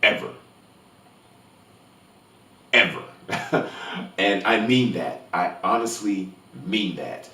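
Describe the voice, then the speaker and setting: Deep-voiced man vlogging in an echoey room. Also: slow, long pauses, bright, forceful tone. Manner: gushing, emphatic, repeats words for emphasis. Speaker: movie review vlogger. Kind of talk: casual spoiler-free film review to camera. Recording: roomy echo, distant from microphone.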